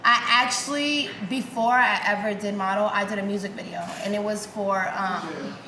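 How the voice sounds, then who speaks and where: A woman's voice: mid-range voice, moderate pace, roomy sound, background noise. A young woman from Argentina whose first language is Spanish, a model and actress interviewed in a loft, bubbly and chatty with lots of "like" and "you know". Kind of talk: casual interview. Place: loft with big windows.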